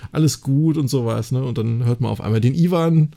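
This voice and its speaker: Chatty man with a creaky, mellow voice. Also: medium pitch, fairly quick. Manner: chatty, enthusiastic, often adds tag questions seeking agreement. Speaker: podcast co-host. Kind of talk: casual episode recap and discussion.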